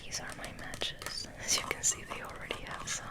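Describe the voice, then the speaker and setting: A woman hosting ASMR in a soft, gentle whispered ramble, hushed and unhurried, sometimes softly repeating words. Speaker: ASMR host.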